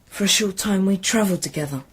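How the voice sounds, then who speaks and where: A woman playing an earnest, pleading young boy in a period radio drama, with a breathy, urgent delivery. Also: medium pitch, fast. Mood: gentle, careful.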